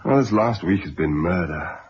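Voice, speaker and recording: An actor playing a flippant but affectionate young student son in a radio drama, with a breezy manner. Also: male voice, sits low, normal speed, slightly rough. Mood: breezy, wry. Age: young adult. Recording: dull old radio recording.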